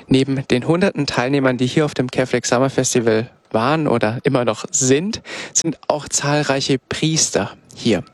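Man, radio interviewer, medium pitch, polite presenting, dry recording. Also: normal speed, hardly any pauses, dry recording.

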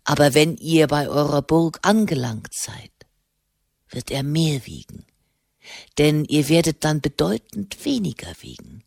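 A woman voicing a gruff, scornful old hermit monk who growls cutting remarks in a storybook reading. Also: low-pitched, very animated, a little slow, a little mellow, dry recording, studio quality, full character voice. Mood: scathing.

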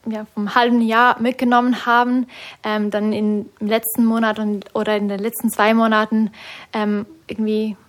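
A young woman from Switzerland with a Swiss German accent, a native Swiss German speaker, guests on a radio interview as a discipleship school student. Her voice sits fairly high but moves little in pitch. She answers hesitantly and nervously, with frequent fillers. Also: level tone, normal speed.

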